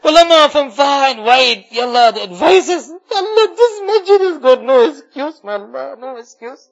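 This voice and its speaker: A man leading an impassioned supplication, pleading tearfully and repeating his phrases with deep emotion. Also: lively intonation, normal speed, muffled recording. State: tearful, crying. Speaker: prayer leader. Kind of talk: impassioned supplication prayer, public. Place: mosque.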